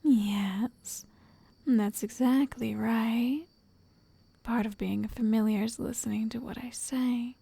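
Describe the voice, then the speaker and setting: A lovestruck yandere witch played by a woman murmurs softly to the listener in an ASMR roleplay. Her voice is fairly high yet mellow, with creaky edges, and she sounds tender, coaxing and quietly controlling. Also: fairly even intonation, no room sound, full character voice. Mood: gently commanding. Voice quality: breathy, soft, often whispering. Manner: slow, tender, condescending endearments.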